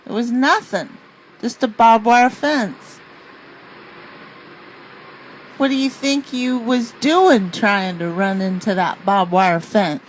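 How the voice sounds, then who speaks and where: Brash young female hitchhiker, played by an actress, radio play, slangy, sassy, skeptical, higher pitch, faint noisy ambience. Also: measured pace, natural voice. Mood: baffled, alarmed.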